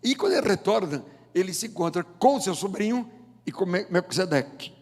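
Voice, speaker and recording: A Brazilian man in his seventies preaching a sermon with deliberate, emphatic phrasing and rhetorical pauses. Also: high-pitched, very animated, a little mellow. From João Pessoa, Paraíba, northeast Brazil. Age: 70s. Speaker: pastor, preacher. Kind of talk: sermon with scripture reading and prayer. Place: large indoor church auditorium. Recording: long hall reverb of church auditorium.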